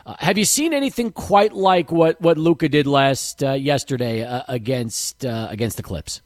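A man hosting a sports radio show, energetic, setting up segments and asking guests questions. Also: medium pitch, moderate pace, no echo, studio quality.

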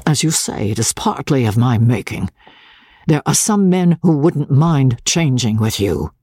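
Kindly, steady gentleman captain for a period audiobook, acted by a narrator, husky, dry close sound. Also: mid-range voice, measured pace, dry recording. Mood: wry. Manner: placid, reassuring. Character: Dobbin, patient good-natured loyal army captain, male.

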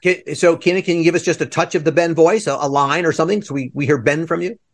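Fast-talking, higher-pitched male host. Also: steady tone. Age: middle-aged. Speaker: podcast host interviewing theater guests. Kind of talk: casual chat.

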